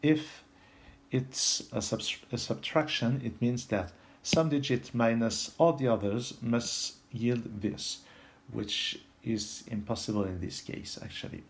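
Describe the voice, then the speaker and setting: A man from France with a French accent, giving an unscripted puzzle tutorial in a halting, step-by-step way, thinking aloud. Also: medium pitch. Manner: halting, pauses mid-sentence, explains step by step. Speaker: puzzle video host.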